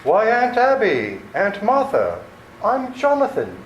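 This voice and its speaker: A man plays a sinister, grandiose prodigal villain in a radio play. His voice is high for a man and very animated, in an echoey room. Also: takes it slowly, dull audio, full character voice. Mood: insistent. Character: sinister, theatrical long-lost criminal nephew. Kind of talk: old-time radio theater acting. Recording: echoey, faintly noisy stage recording.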